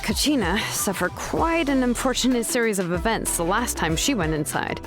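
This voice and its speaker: Measured, bookish game craftswoman over music. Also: a little high, big pitch swings. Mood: wry storytelling. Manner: measured, explanatory. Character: calm, bookish adult craftswoman who forges ancient names. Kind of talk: scripted video game dialogue.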